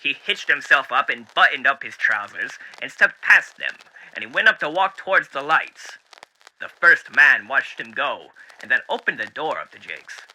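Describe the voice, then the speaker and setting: A man voicing a dapper, sinister radio demon who reads a story theatrically and deliberately through an old radio filter. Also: medium pitch, sing-song, exaggerated voice, low-quality recording. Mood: ominous relish. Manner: theatrical, deliberate, with hushed dips and swells. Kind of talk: dramatic book reading as old-time radio host.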